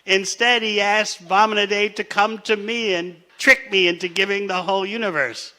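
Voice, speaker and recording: A man's voice: high voice, steady tone, moderate pace, pushed and bright. A man teaching a scripture class in a conversational way, asking his listeners questions and sometimes laughing. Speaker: spiritual teacher.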